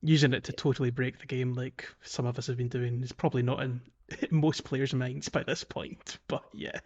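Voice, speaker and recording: A man's voice: medium pitch, flat delivery, normal speed, a little mellow, lo-fi sound. A man talks casually as a podcast co-host recapping a game. His delivery is conversational and loosely explanatory, and he qualifies his points with small asides.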